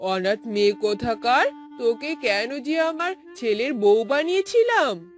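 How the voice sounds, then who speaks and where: A woman's voice: a little high, animated, a little slow, faint music behind. A woman voicing an older village woman in a cartoon, the family matriarch type. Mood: harsh scolding. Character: older village woman, mother-in-law or grandmother, plaintive and scolding. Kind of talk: Bengali cartoon voice acting.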